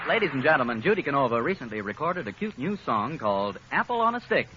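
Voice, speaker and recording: A man's voice: mid-range voice, big pitch swings, fast talker, dull audio. A man announcing in 1940s radio style as the show's announcer. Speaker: show announcer. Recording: noisy, band-limited vintage radio recording.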